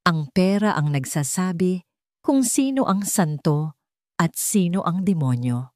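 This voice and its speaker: A woman's voice: fairly low pitch, lively intonation, a little slow, darkish tone, no echo, studio quality. A woman with a Filipino accent reading a scripted dramatic story as its narrator.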